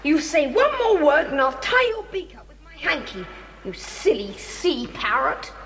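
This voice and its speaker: A woman's voice: sits high, sing-song, unhurried, fairly bright tone, full character voice. A woman voicing a plucky, watchful British boy in a children's adventure audio drama. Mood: scolding. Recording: hissy old cassette with long reverb.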